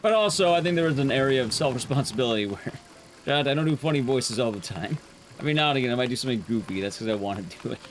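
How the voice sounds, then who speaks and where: A young man streaming to his chat, talking casually, rambling and chatty with sudden jumps in pitch. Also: expressive, rapid-fire, slight background noise.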